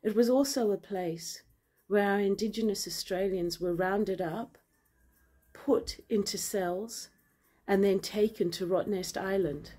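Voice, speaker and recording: Earnest Zambian-raised woman speaking to camera. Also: medium pitch. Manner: earnest, measured, grows forceful and indignant. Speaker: vlogger and author. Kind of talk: impassioned personal address to camera. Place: indoor room with large windows and plants. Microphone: phone camera at arm's length.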